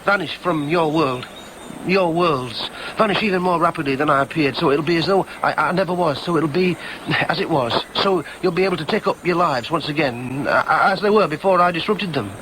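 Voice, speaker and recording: A man's voice: a little high, fairly quick, hardly any pauses, fairly bright tone, slight background noise, close to an everyday voice, rough recording. An actor playing an earnest, apologetic young man in a radio drama, awkwardly honest and hesitant, stammering over his words. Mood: resigned, resolute. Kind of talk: naturalistic radio drama acting.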